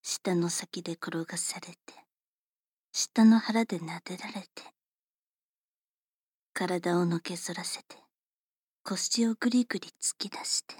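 A woman voicing a polite, teasing female servant who attends a young lady, speaking in formal, unhurried whispers for an ASMR roleplay. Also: lowish voice, darkish tone, husky, dry recording, clean studio sound.

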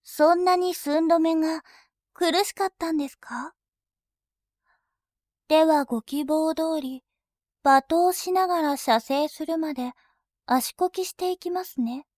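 Woman voicing a high, slow-spoken haughty bank clerk. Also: darkish tone, dry recording, clean studio sound. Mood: polite teasing. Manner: very formal polite speech, slow and deliberate. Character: composed female bank clerk, dominating and scornful. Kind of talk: adult audio drama acting.

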